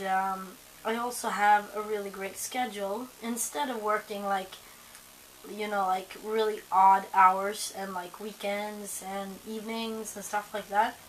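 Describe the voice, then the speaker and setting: Young woman vlogging from home, hoarse from a cold, slow and rambly, faint hiss behind. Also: medium pitch, poor audio. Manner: rambling, many ums and likes. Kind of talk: casual chat to camera.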